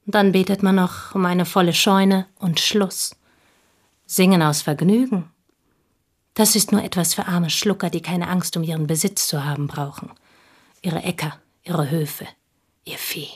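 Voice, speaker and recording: An actress playing a bitter, proud, unmarried village woman in a radio play, speaking deliberately and with scornful intensity in a husky voice. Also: lowish voice, fairly even intonation. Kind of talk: German radio play acting.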